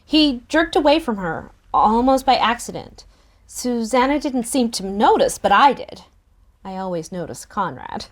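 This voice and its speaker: A woman narrating a first-person novel in a relaxed, expressive table-read style. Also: on the high side, unhurried.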